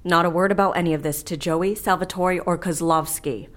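A woman's voice: on the low side, steady tone, a little fast, fairly bright tone, lightly acted. An actress playing a firm, businesslike woman boss in an audio drama, curt and decisive.

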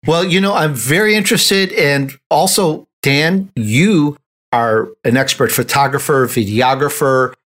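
A man's voice: on the high side, measured pace, fairly bright tone. A man hosting a conversational interview, speaking in an effusive, complimentary way. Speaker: interview host.

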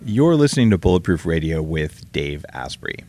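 Deep-voiced chatty man hosting. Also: big pitch swings, music in the background. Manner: chatty, upbeat, quick asides. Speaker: podcast host. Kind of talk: casual interview and ad read. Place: room in Hawaii, live with guest.

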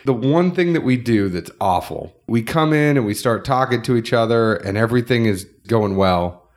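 A man's voice: mid-range voice, animated, moderate pace. A man with a nasal voice co-hosting a casual podcast, chatting loosely and running through sponsor plugs. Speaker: podcast co-host.

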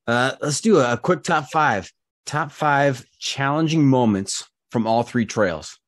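A man's voice: mid-range voice, measured pace, no room sound, clean studio sound. A man hosting a podcast, asking questions in a joking, easygoing way. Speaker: podcast host. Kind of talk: casual interview chat. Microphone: studio microphone.

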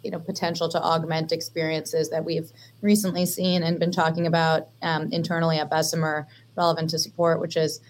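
A woman with a Californian accent and a notably low voice speaks on a panel. She talks in a measured, unhurried way, leaning on "you know" and "um". Faint noise lies behind her. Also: flat delivery. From western United States. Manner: measured, filler-heavy. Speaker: investor panelist. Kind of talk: panel discussion.

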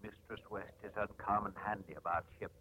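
An actor playing a plain-spoken, admiring sailor in a 1950s radio drama. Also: male voice, on the low side, fairly even intonation, a little fast, lightly acted. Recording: noisy old radio recording.

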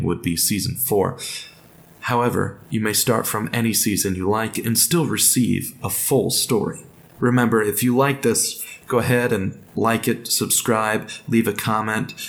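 Male podcast host, informal, encouraging, slightly low pitch, noticeable vocal fry. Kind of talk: spoken podcast introduction to listeners.

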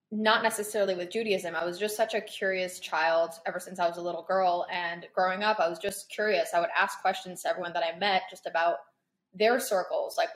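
A young woman, born in Paris and raised in Toronto, answering interview questions in a chatty, searching way with many ums. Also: lowish voice. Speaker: guest, content creator.

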